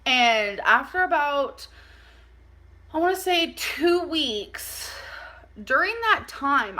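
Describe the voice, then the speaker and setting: A woman with a fairly high, very bright and forward voice tells a personal story in a casual vlog style. She is animated and informal, peppering her sentences with "like" and slipping into quoted dialogue as she goes. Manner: animated, informal, acts out dialogue, heavy use of "like". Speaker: vlogger telling a personal story.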